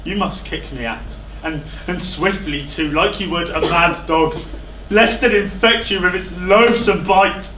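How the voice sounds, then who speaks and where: A man on stage playing an anguished, self-loathing fallen king in a classical tragedy, declaiming in halting, pleading phrases. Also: high-pitched, bright, forceful tone. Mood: bitter self-loathing. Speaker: stage actor. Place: indoor theatre stage. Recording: echoey theatre, distant microphone, faint noise.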